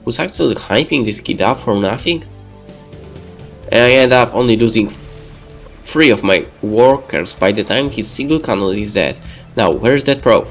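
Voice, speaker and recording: A man reads story narration from a video game aloud at an ordinary male pitch. Faint game music plays behind his voice. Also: animated, normal speed. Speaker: let's player reading a visual novel aloud.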